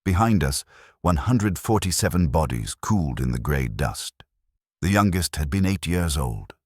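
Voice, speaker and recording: A man reading a scripted dramatic story in the first person, solemn and measured, with clipped phrasing. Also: very deep voice, animated, slightly rough, no room sound, studio quality. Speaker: narrator.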